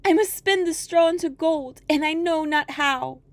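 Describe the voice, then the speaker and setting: Woman acting a young maiden, very high pitch, meek, plaintive, anxious, storybook fairy tale, dry recording. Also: moderate pace, no echo. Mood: woeful. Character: distressed young miller's daughter, meek and anxious.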